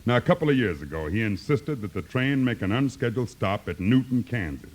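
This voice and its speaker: A man's voice: fairly low pitch, lively intonation, brisk. A man playing a puzzled, grumbling railroad attendant with folksy griping in a 1950s radio comedy.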